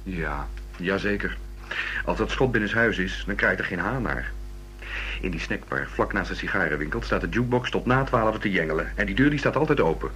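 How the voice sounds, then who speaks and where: A young male student answers as a witness in a radio play. His fairly low voice swings widely in pitch, halting yet helpful, over faint broadcast noise. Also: expressive, pushed and bright. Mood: chatty, grumbling. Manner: hesitant, halting. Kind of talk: Dutch radio drama dialogue. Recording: slightly noisy old radio recording.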